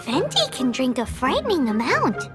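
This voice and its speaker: A squeaky cheeky fairy companion. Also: female voice, huge pitch swings, normal speed. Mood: teasing. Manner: bouncy, teasing, exclaims often. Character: tiny floating fairy companion, chirpy and cheeky. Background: game music.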